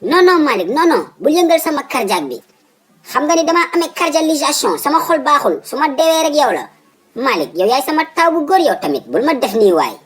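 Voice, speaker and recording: A man's voice: very high voice, very animated, brisk, a bit bright, exaggerated voice. A man voicing a fussy, stubborn old father in a comic cartoon, with a Senegalese accent, exclaiming and repeating his words emphatically. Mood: alarmed, pleading.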